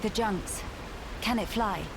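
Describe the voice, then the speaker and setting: An actress playing a composed, thoughtful noblewoman with a formal, measured manner in a video game. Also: medium pitch, noisy background. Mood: alert, questioning. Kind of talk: video game cutscene acting. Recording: music over the dialogue.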